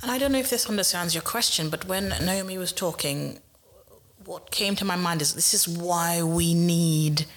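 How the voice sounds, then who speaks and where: A woman's voice: fairly low pitch, moderate pace. A woman from Trinidad, a guest on an academic panel, talking reflectively and often tagging her points with "right?". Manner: reflective, tags statements with "right?". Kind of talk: panel discussion.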